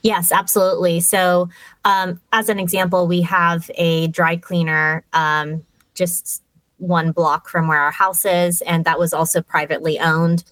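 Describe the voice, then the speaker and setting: Guest woman, chatty, detailed storyteller, lower voice, dry close sound. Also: flat delivery, a little slow, a bit bright, no room sound. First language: English. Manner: chatty, detailed, with light laughs and fillers. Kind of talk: casual storytelling in an interview.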